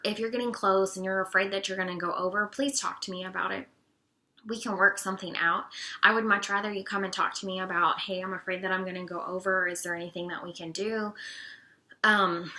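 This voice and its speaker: A woman with a bright, forward tone and a raspy edge from allergies. She talks in a casual, friendly way, stopping often on "um" as she walks through the details. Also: mid-range voice. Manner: friendly, chatty, many "um"s. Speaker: co-op treasurer. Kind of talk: casual informational video talk.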